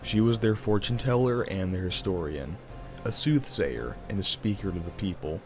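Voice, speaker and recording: A man narrating a scripted fantasy audio drama as a theatrical, deliberate storyteller. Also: fairly low pitch, lively intonation, over music.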